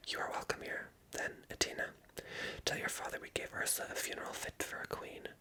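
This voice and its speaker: An ASMR reader voicing a gracious, guarded witch woman in a composed, breathy whisper. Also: brisk, sharp tone, natural voice.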